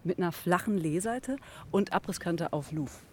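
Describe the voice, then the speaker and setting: A woman's voice: lowish voice, moderate pace, dark tone, close to an everyday voice. An actress playing an overwhelmed, nerdy female environmental official in a radio play, stammering, repeating and breaking off. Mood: awestruck. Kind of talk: naturalistic radio play acting.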